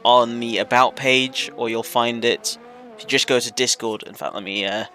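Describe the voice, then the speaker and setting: A man's voice: medium pitch, steady tone, normal speed, pushed and bright, slight background noise. A man hosting and commenting on a sim racing livestream, welcoming viewers and keeping the talk moving. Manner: welcoming, runs the stream, directs talk.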